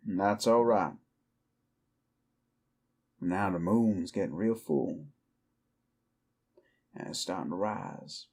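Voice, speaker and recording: An actor playing a weary, defiant cowboy outlaw werewolf in an audio roleplay, with a folksy, brooding American Southern or Western drawl. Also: male voice, fairly low pitch, darkish tone. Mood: warning, resigned. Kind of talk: slow dramatic roleplay monologue to the listener.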